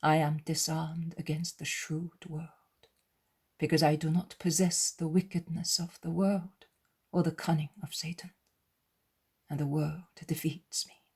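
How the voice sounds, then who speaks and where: A woman's voice: low voice, little pitch movement, unhurried, husky, exaggerated voice. A woman reading as a sorrowful whispering teacher. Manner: hushed, whispered. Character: sorrowful gentle adult male teacher.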